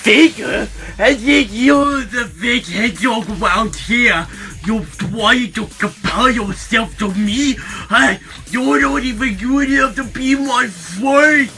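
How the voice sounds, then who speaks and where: Man acting a loud, high, cocky cartoon hedgehog. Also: a little slow, gravelly, few pauses, faint music behind, full character voice. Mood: boastful, angry. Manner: taunting, exclamatory.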